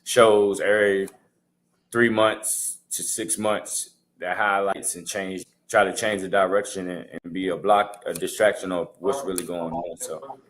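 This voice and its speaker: A man co-hosting a podcast, speaking casually and hesitantly with a measured delivery. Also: deep voice, fairly even intonation, a bit bright, a little hiss or hum. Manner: hesitant, many fillers and restarts, measured. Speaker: podcast co-host. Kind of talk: casual opinion talk.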